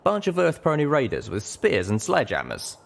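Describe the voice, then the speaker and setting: A man's voice: fairly high pitch, huge pitch swings, brisk, creaky, pitched above the performer's own voice, heavily acted. A man voicing a cheerful, cocky pegasus scout with folksy, ungrammatical speech in an audiobook.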